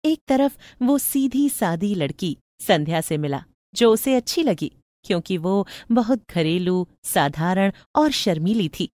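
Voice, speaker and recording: A woman's voice: mid-range voice, animated, measured pace, a little mellow, no echo. Woman narrator, very dry recording. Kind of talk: scripted moral story narration.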